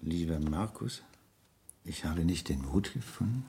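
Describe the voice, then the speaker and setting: A man's voice: deep voice, expressive, measured pace, vocal fry, noisy background, heavily acted. An actor playing a reserved, well-off married businessman with a formal, guarded way of talking in a 1970s German radio crime drama. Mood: subdued, guarded. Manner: formal, guarded, clipped answers. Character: reserved, well-off married Hamburg property broker under questioning.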